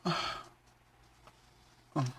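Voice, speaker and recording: An actor playing a young student who stammers through apologies and pleas in a radio drama. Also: male voice, extremely slow, pushed and bright, slight background noise. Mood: wistful. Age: young adult. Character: young male student, torn between love and duty.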